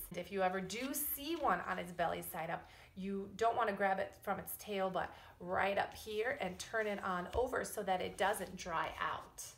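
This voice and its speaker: A middle-aged woman, a preschool teacher, explaining and reading aloud to young children in a deliberate, emphatic, teacherly way. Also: mid-range voice, fairly bright tone. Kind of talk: children's science lesson and read-aloud story. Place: indoors in a wood-panelled room at home or school. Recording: echoey room.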